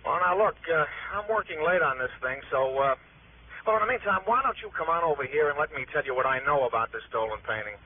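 A man playing a brisk, joking insurance man on the telephone in a 1960s radio drama. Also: on the high side, expressive. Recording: tinny, faintly noisy vintage radio phone voice.

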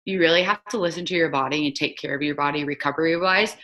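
A woman's voice: sits low, quick pace, pushed and bright. A young woman in her twenties, a former soccer player, chatting casually as a guest over a video call, with lots of "like" fillers. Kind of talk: casual remote interview.

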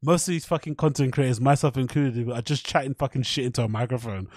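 A man's voice: mid-range voice, fairly even intonation, fast talker, darkish tone, no room sound, studio quality. A man with a British accent hosting a podcast, rambling casually in slangy, sweary, irreverent commentary as he reads things out and reacts. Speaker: podcast host.

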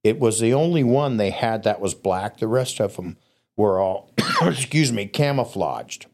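A man with a fairly low voice tells stories in a casual podcast chat. He rambles a little, restarting sentences and using fillers, and his throat is scratchy enough that he coughs now and then. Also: expressive, measured pace, a little mellow. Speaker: guest storyteller.

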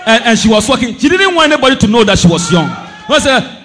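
A man preaching a sermon as pastor, impassioned, swinging between shouted declarations and low asides. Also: big pitch swings, fast. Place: church service.

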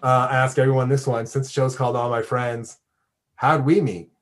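A man's voice: mid-range voice, level tone, normal speed. Informal, rambling American man. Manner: informal, rambling, self-interrupting. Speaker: podcast host and radio DJ. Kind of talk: casual chat interview.

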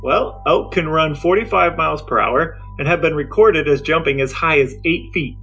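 A man co-hosting a podcast, sharing facts in a dry, deadpan way during banter. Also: on the high side, normal speed, soft background music. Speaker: podcast co-host.

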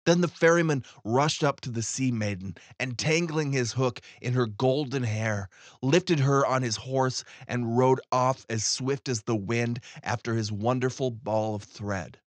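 A man's voice: medium pitch, a little slow, no room sound. A man reading a fairy tale aloud as scripted storybook narration. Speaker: audiobook reader.

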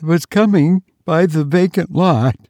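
A man's voice: very high voice, slow, creaky, dark tone, no room sound, studio quality, voice pushed up, full character voice. A man reading aloud and voicing a terrified young woman who pants and stammers for breath. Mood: terrified panting.

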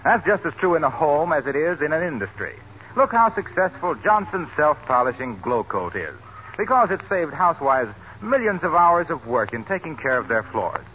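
A man's voice: on the high side, sing-song, normal speed. An American man reading a scripted 1940s radio commercial as the announcer, earnest and persuasive. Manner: earnest, persuasive sales delivery. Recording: muffled, faintly noisy 1940s radio recording.

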